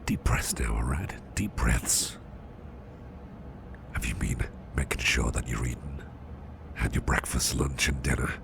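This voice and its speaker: Man playing a gentle fussing boss, ASMR roleplay, heavily gravelly half-whisper, unhurried, reassuring, noisy close recording. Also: rumbling low, steady tone, exaggerated voice. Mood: gentle concern. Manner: soft, reassuring, hesitant with repeated phrases. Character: protective, gentle, fussing male boss comforting exhausted employee. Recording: close recording with loud background noise.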